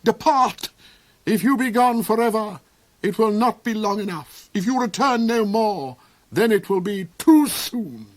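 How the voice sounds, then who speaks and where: A man voicing a cold, wicked, lame duke in a storybook reading, with a high snarling sneer that turns to shrieks and whispers. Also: expressive, unhurried.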